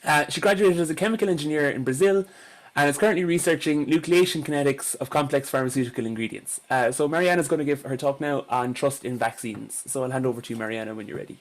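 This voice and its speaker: A man's voice: medium pitch, fast talker. A man hosting an online event and introducing the next speaker, with a few brief fillers. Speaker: event host. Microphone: computer mic on video call.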